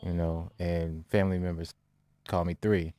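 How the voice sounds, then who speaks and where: A man's voice: deep voice, level tone, normal speed, mellow, dry recording. A man in his forties, a guest hip hop artist, chatting in an easygoing, agreeable way. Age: 40s. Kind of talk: casual interview chat. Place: radio studio.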